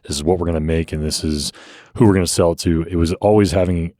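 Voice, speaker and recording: A man speaking as a brewery owner in a casual podcast chat, easygoing and reflective, quick to laugh. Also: sits low, steady tone, warm tone, dry recording, studio quality.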